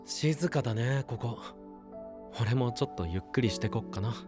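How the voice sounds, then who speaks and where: An actor playing a kind, easygoing young knight in an anime voice drama, talking in soft, casual, friendly phrases. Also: male voice, mid-range voice, moderate pace, lightly acted. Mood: gentle, reassuring. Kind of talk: Japanese anime voice drama acting. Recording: clear music under the voice.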